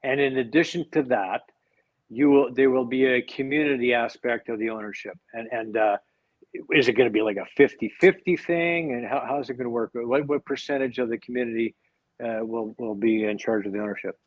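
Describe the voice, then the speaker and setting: A man's voice: medium pitch, fairly even intonation, a little fast, no room sound. A man hosts a casual chat, piling up restarts as he asks eager, meandering questions. The recording is dry and a bit dull, with no room sound. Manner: enthusiastic, rambling questions with repeated restarts. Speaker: host.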